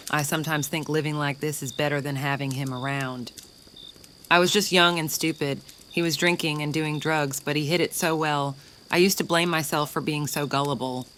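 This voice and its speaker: A woman's voice: low-pitched, a bit bright, slight background noise. A woman playing a frank, world-weary young mother in an audio comic, candid and rueful. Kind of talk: audio comic dramatic acting.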